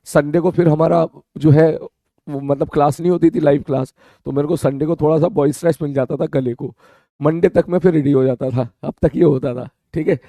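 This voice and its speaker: A man teaching an online class with a sore, hoarse throat, chatting casually with his students and often checking they follow. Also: fairly high pitch, dark tone, no echo, studio quality. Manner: chatty, repeats "theek hai" often, calls students beta.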